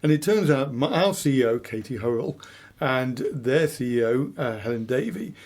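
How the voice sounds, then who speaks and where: A man's voice: mid-range voice, animated, moderate pace, darkish tone. A man in a conversational radio discussion, speaking as a money trainer with an enthusiastic, interjecting manner.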